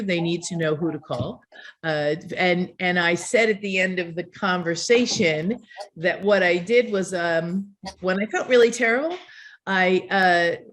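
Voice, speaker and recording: An older woman speaks on a community video call in a mid-range voice. She talks earnestly and a little ramblingly, with frequent fillers and restarts. The sound comes through a video call mic. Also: mid-range voice.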